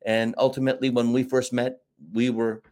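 A man's voice: mid-range voice, level tone, moderate pace, darkish tone. A middle-aged American man, a marketing teacher talking at length as an interview guest, in an expansive storytelling way with fillers. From United States. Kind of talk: casual interview talk.

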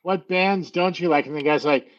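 Man, musician guest, rambling and jokey, slightly high voice, dull remote sound. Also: normal speed. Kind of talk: casual chat.

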